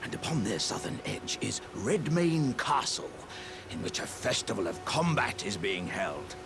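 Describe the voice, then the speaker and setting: A man's voice: medium pitch, sing-song, unhurried, over music. A man playing a jovial, polite, boastful living warrior jar in a video game, with hearty, courtly, old-fashioned phrasing. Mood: cheerful, eager. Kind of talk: video game character dialogue.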